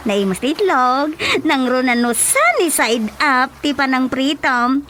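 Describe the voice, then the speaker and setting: A woman playing a coy, flirtatious village storekeeper in a comedic radio drama, with an Ilocano Filipino accent and a drawn-out, giggly delivery. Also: sits high, very animated, fairly bright tone, slight background noise, heavily acted.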